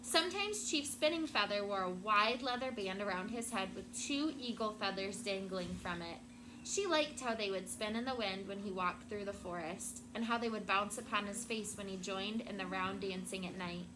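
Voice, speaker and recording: Woman, schoolteacher, storybook read-aloud, expressive deliberate phrasing, middle pitch, clearly noisy classroom recording. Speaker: elementary school teacher. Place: indoor classroom with children.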